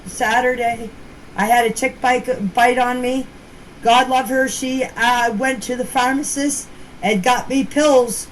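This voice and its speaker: A woman giving a casual, rambling personal account in plain, folksy words, recorded as voice messages. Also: high-pitched. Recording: noisy voice-message clips with background ambience.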